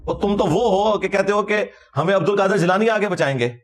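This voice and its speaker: A man with a Pakistani Punjabi accent giving informal religious commentary in an argumentative, sarcastic, colloquial way. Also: high voice. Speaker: religious lecturer.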